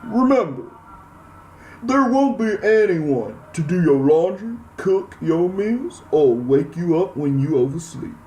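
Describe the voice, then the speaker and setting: Man playing a slow, stern, disapproving dad. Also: high voice, sing-song, a little mellow, reverberant, a little hiss or hum, pitched above the performer's own voice, exaggerated voice, poor audio. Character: stern, curt, disapproving father.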